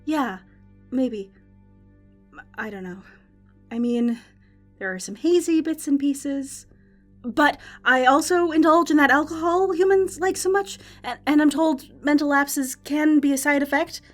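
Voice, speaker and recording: A woman playing a chatty, excitable and bloodthirsty fantasy arena announcer in an audio drama. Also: high-pitched, a little slow. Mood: anxious. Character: gleeful, bloodthirsty female fantasy arena announcer, easily worried. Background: music.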